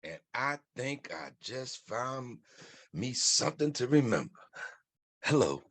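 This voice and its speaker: Man acting a cocky young charmer, slow and bright. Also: mid-range voice, sing-song, no echo, exaggerated voice. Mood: smitten. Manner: exuberant, drawling, playful. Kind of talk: live dramatic script read.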